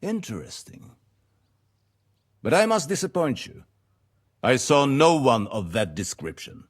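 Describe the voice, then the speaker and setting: An actor playing a courteous, dignified medieval lord in a video game, with a formal and measured manner. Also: male voice, mid-range voice, wildly swooping pitch, husky, heavily acted. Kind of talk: video game cutscene acting.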